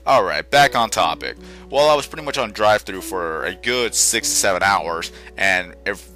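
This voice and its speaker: A tired young man talks through a game in a rambling, self-correcting way. His voice sits very low yet rings bright and forward, and it stays soft and quiet. Game music plays faintly underneath. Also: lively intonation, moderate pace. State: tired from little sleep. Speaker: gaming channel host. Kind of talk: casual gameplay commentary.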